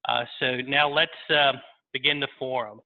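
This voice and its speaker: A man hosting an interview as facilitator, halting and repeating words as he speaks. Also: medium pitch, little pitch movement, gravelly. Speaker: interviewer, recruiting consultant. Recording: tinny phone-like line.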